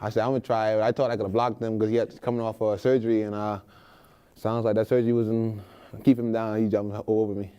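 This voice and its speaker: A man's voice: on the low side, level tone, quick pace, mellow, clear, resonant tone, highs cut off. A young man from the Bahamas with a Bahamian accent, answering interview questions in a laid-back way with many fillers. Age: young adult. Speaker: college basketball player guest.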